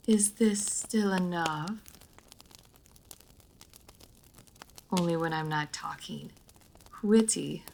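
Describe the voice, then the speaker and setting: Woman playing a slow, creaky-voiced refined vampire lady. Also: lowish voice, slight background noise, heavily acted, low-quality recording. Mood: flustered, dryly playful. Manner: formal, measured diction with hesitant pauses. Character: refined, reserved female vampire noble, dryly playful. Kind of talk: roleplay audio drama, intimate monologue to listener.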